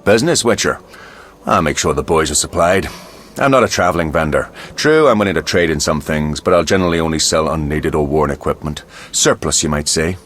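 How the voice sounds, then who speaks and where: A man's voice: very deep voice, lively intonation, fairly quick, fairly bright tone, slight background noise. A man playing a world-weary mercenary quartermaster in a video game, wry and speaking in clipped phrases. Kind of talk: video game dialogue acting.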